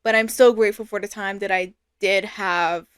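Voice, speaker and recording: A woman's voice: mid-range voice, steady tone, moderate pace, clean tone, no echo, studio quality. A young adult woman giving an informal, earnest and reflective personal testimonial. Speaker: fan artist and creator.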